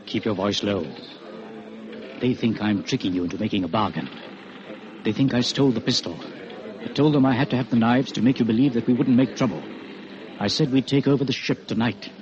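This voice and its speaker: An actor playing a calm, cunning, gentlemanly prisoner in an old radio drama, controlled and persuasive. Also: male voice, medium pitch, fairly quick, long pauses, natural voice. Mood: hushed, urgent. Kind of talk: 1940s radio drama acting. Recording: muffled, noisy old radio broadcast.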